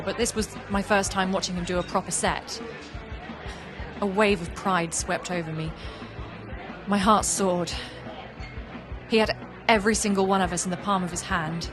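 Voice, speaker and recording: Casting: actress as reflective young woman artist, lower-pitched voice, earnest confessional audio-drama storytelling, music underneath. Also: lightly acted. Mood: wistful. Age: young adult. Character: young woman artist, reflective, warm, wistful about an old friend. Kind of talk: audio play monologue and dialogue.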